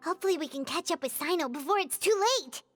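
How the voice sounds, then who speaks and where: A woman's voice: high voice, quick pace, fairly bright tone. A woman voicing a small, cheerful, childlike fairy companion in a video game, chirpy and eager over music. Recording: music behind the voice.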